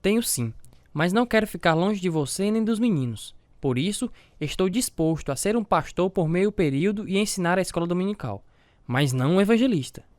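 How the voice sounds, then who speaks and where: A man's voice: high-pitched, lively intonation, brisk, a little mellow, dry recording. A man acting as a devout, self-doubting family man, narrating and talking in an earnest, confessional way with a Brazilian accent in a religious radio drama. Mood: conflicted, earnest.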